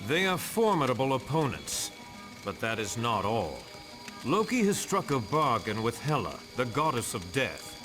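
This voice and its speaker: A man's voice: mid-range voice, very animated, normal speed, over music, close to an everyday voice. A man playing a proud, noble Norse god warrior in a video game, speaking in an archaic, formal, declamatory way. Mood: grave warning. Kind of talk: video game cutscene acting.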